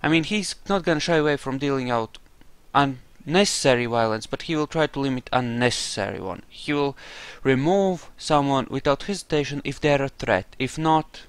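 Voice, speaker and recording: A man doing roleplay commentary over a game, musing aloud and explaining his character's reasoning in a deliberate way. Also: medium pitch, clear, resonant tone, no echo. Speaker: gamer narrating a roleplay playthrough.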